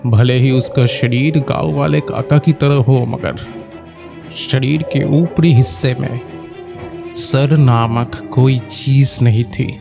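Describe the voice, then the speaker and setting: A man narrating a scripted horror story in a measured, suspenseful way. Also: medium pitch, steady tone, gravelly. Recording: loud music behind the voice. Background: loud music.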